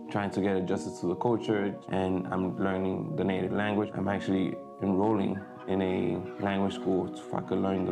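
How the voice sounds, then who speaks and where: Man, young adult, native spanish speaker, deep voice, gravelly, hesitant, music playing behind. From Dominican Republic. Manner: hesitant, many fillers and restarts. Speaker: vlogger. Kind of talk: casual vlog talk to camera.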